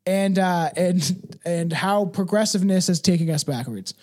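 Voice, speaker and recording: A man's voice: high voice, moderate pace, a little mellow. A man hosting a podcast in casual, opinionated chat, speaking bluntly and emphatically, swearing freely and tagging phrases with "right" and "man". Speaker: podcast host.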